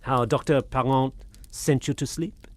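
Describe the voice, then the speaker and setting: An actor playing a polite, sceptical French gentleman in a radio drama, courteous and questioning. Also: medium pitch, steady tone, unhurried, lightly acted. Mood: incredulous questioning.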